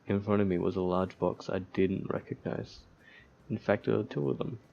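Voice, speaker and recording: Low creaky guy doing a let's play, reading game text out loud, dull lo-fi mic. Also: moderate pace, slight background noise. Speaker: let's player reading a visual novel aloud. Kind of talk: casual gaming commentary mixed with reading game text aloud.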